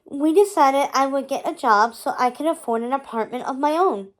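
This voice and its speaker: A woman's voice: high voice, moderate pace, lightly acted. A woman voicing an eager, earnest young woman with a sweet, bubbly manner in a storybook. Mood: eager, proud.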